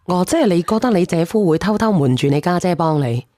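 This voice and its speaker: A woman's voice: fairly low pitch, animated, fast, dark tone. A woman hosting a call-in show, casually probing and cutting in with questions. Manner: questioning, interjecting.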